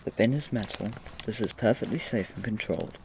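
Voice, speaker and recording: A man playing a mysterious, formally courteous gentleman in an audio drama, dry, unhurried and theatrically teasing. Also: fairly low pitch, slight background noise, natural voice, low-quality recording. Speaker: podcast drama actor.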